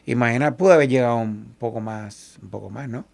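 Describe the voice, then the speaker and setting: A man from Cuba with a Cuban Spanish accent, a salsa singer talking as an interview guest and telling animated stories, often quoting other people. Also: mid-range voice, a little fast, gravelly. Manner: animated, quotes others in lively asides. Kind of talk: casual interview storytelling.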